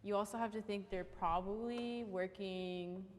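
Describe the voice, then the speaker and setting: A woman with a medium-pitched voice makes an earnest case in a panel discussion. She is emphatic and builds her points with repeated phrases. A long reverb surrounds her voice. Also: steady tone, unhurried, slight background noise. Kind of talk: earnest argument in a group discussion. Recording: reverberant large room.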